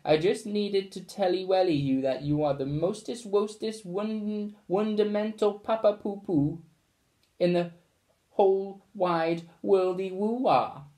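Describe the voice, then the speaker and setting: A man voicing a doting, soppy schoolboy who gushes in baby talk and drawn-out rhyming words. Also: high-pitched, fairly even intonation, warm tone, far from the mic, voice pushed up. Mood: gushing.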